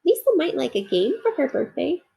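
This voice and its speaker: A woman's voice: sits high, big pitch swings, a little fast, vocal fry, dark tone, voice pushed up, exaggerated voice. A woman voicing a small, earnest toy bear with a wondering, childlike manner in a storybook. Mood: wondering, hopeful.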